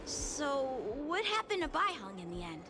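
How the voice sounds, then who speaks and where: A woman voicing an eager, cheerful young boy in a video game, brisk and excitable. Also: high voice, noisy background, full character voice. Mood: curious. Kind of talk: English-dubbed video game acting.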